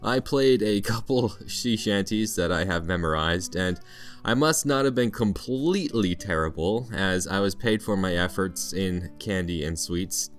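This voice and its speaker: A man's voice: deep voice, measured pace, faint music behind. A Canadian man narrating a scripted vlog in a playful storytelling way with mock-dramatic flourishes. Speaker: vlog narrator, teacher in Japan.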